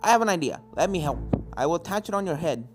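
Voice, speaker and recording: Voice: mid-range voice, lively intonation, quick pace, over music, natural voice. A narrator doing an emphatic old pony professor. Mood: reassuring, instructive. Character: old male pony professor, cautious, wry ghost hunter.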